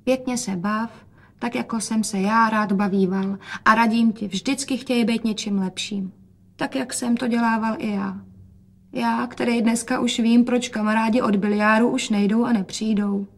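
A woman's voice: fairly high pitch, fairly even intonation, vocal fry. Woman acting a weary, wistful sick father. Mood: wistful, tender. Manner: slow, quiet, wistful.

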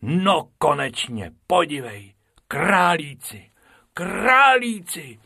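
A man's voice: on the high side, big pitch swings, vocal fry, fairly bright tone, slightly rough, no room sound, studio quality, pitched above the performer's own voice, full character voice. A man voicing a cheeky, bold male rabbit who leads his friend, speaking eagerly in a storybook reading.

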